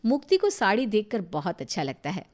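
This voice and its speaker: A woman's voice: mid-range voice, wildly swooping pitch, brisk. A woman narrating a scripted moral story as its storyteller.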